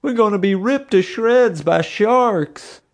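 A man's voice: high voice, normal speed, gravelly, darkish tone, voice pushed up. A man voicing a big cowardly security officer, whining and moaning in fear, in an audiobook story. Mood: wailing despair.

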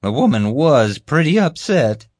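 Man putting on a high, excitable bumbling guard. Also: a little slow, dry recording, studio quality. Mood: defensive. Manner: boastful, oblivious, excitable. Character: bumbling, proud young male security guard. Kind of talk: audiobook character voice.

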